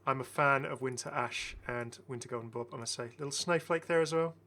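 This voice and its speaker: A man's voice: mid-range voice, a little fast, pushed and bright. A man giving casual, enthusiastic game commentary as a chatty, rambling video host.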